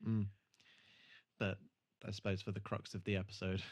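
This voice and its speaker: A man's voice: lowish voice, little pitch movement, moderate pace, darkish tone. A British man co-hosting a podcast, reviewing an episode in casual, hesitant chat as he thinks aloud. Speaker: podcast co-host.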